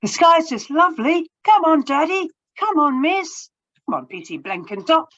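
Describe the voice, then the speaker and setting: A woman's voice: sits high, very animated, a little slow, a bit bright, no room sound, dull audio, pitched above the performer's own voice, full character voice. A woman voicing joyful little children in a read-aloud storybook, exclaiming in a raised, gleeful voice. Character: excited flying young children, joyful.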